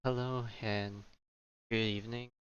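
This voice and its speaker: A man's voice: lowish voice, level tone, unhurried, clean tone, highs cut off. A man doing casual livestream gaming commentary, thinking aloud in a hesitant, rambling way. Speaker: game streamer. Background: game music.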